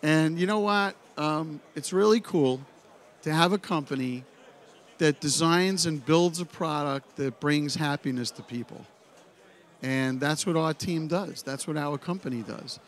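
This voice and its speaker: A middle-aged man with a Brooklyn accent gives a blunt, animated seminar talk into a handheld microphone on a dark expo stage. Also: on the high side, unhurried. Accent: Brooklyn New York. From United States. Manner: blunt, animated storyteller, full of "you know". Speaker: pinball company head giving a seminar. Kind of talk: informal conference talk.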